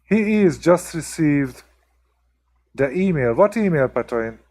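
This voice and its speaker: A man's voice: a little high, animated, slow, a little mellow. A man from Hungary with a strong Hungarian accent, chatting casually with viewers as the host of a live stream, full of ums and restarts. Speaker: livestream host.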